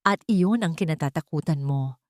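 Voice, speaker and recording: Woman narrator as the wronged grown kid in a love story, firm and protective, lowish voice. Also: normal speed, darkish tone, dry recording, clean studio sound, close to an everyday voice. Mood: accusing, firm.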